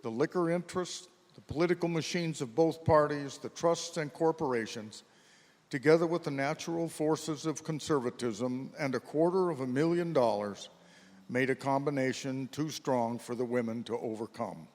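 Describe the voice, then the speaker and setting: A man reading scripted historical narration in a formal, measured manner for a readers theater. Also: medium pitch, a little mellow. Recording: reverberant hall sound.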